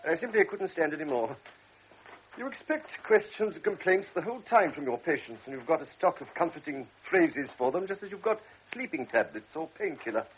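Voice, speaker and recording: A man's voice: fairly high pitch, highs cut off, slight background noise. A man playing a blunt, cynical middle-aged doctor in a radio drama, talking in a brusque, lecturing, world-weary way. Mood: exasperated.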